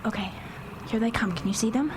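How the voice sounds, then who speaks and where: A woman's voice: a little high, fairly quick, natural voice. A woman in a radio drama playing a bossy, protective older girl who speaks assertively and urgently. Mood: determined, hushed. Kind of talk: live radio drama acting. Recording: noisy live radio broadcast, background sound effects.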